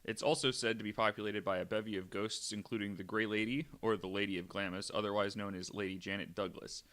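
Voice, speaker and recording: A young adult man hosting a podcast, reading from notes with frequent "uh" fillers and adding wry, deadpan asides. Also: lowish voice, fast talker. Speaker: podcast host.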